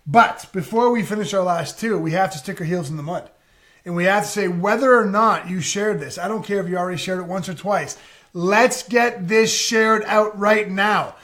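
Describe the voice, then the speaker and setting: A man with a noticeably high-pitched voice co-hosts a casual live stream. He is emphatic and talks straight to viewers like a hype man. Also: moderate pace, a bit bright. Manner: emphatic, hyping up the audience. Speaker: co-host of a live online relationship show.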